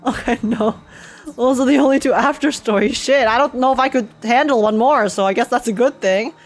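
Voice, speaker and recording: A young woman giving casual, slangy and expressive game reaction commentary as a streamer. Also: a little high, brisk.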